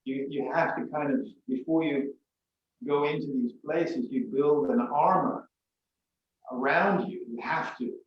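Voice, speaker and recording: A man's voice: a little high, highs cut off, slight background noise. Echoey, distant man on a video call. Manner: reflective, halting, with many pauses and fillers. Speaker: guest photographer. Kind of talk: casual interview talk.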